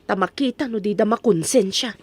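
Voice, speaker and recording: Farmer's wife played by a woman for radio comedy: husky, medium-pitched, Ilocano accent, grumbling and practical. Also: fairly quick, darkish tone, close to an everyday voice. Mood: indignant. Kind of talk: comic radio drama acting.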